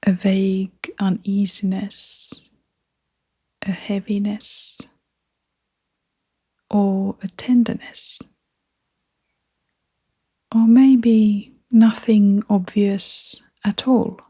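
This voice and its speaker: A woman guiding a meditation in a soft, half-whispered voice, gentle and soothing, leaving long pauses between phrases. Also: medium pitch, little pitch movement, husky. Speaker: meditation guide. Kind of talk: guided meditation narration.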